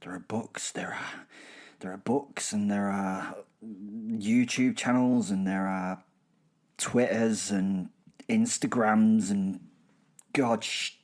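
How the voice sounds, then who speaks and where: A gleeful werewolf killer on the run, played by a man, with a lowish voice and a British accent. He narrates like a chatty horror serial host, boastful and wry, wandering off into dark little asides. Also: fairly even intonation, takes it slowly. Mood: casual, wry. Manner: wry, rambling, darkly humorous asides. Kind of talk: chatty first-person dramatic storytelling.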